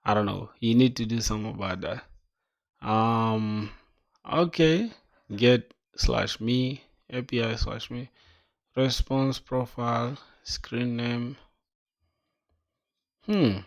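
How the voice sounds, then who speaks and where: A man's voice: medium pitch, slow, long pauses. A young man streaming himself coding, chatting casually with viewers and thinking aloud in slang. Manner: slangy, calls viewers "bro", thinks aloud and reads docs; bursts into excited reactions between mumbled reading. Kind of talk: casual livestream chat while coding.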